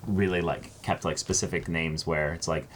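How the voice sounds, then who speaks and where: A man chatting casually and reading letters aloud as co-host of an unboxing, halting when he ad-libs and expressive when he reads. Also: deep voice. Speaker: co-host of a reaction channel. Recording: faint background noise.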